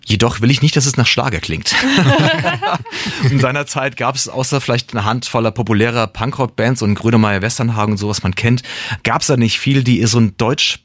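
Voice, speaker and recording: A man's voice: medium pitch, very animated, normal speed, a bit bright, no room sound, clean studio sound. A man, a singer-songwriter and native German speaker, talking about his music in a casual, reflective way, with many restarts and the odd laugh. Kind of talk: casual interview talk.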